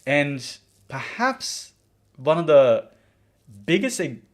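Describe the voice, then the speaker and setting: A man's voice: on the high side, very animated, takes it slowly. Man from the Maldives hosting a livestream. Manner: earnest, opinionated, with frequent restarts and fillers. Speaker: livestream host. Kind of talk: livestream commentary reading viewer comments.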